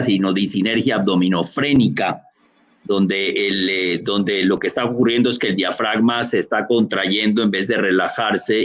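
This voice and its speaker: A gastroenterologist from Colombia with a Barranquilla accent, explaining a medical answer over a video call, with frequent fillers and restarts. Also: male voice, fairly low pitch. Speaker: gastroenterologist lecturer. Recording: dull lo-fi video call audio.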